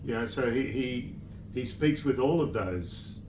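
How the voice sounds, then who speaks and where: A man, an author at his book launch, speaking in a relaxed, reflective, plain-spoken way. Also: medium pitch, measured pace, background noise. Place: bookshop event room. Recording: echoey room, distant mic.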